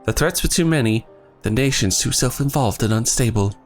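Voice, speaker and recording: A man reading the lines of an earnest young male scholar in his own voice, with formal, archaic phrasing and a voice that is going hoarse. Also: mid-range voice, brisk, soft background music, close to an everyday voice. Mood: solemn. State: losing his voice.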